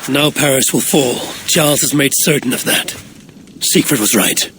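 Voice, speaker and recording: An actor playing a steady, blunt Viking warrior leader in a video game cutscene, speaking tersely and evenly. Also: male voice, medium pitch, normal speed, background noise, natural voice. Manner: terse and level.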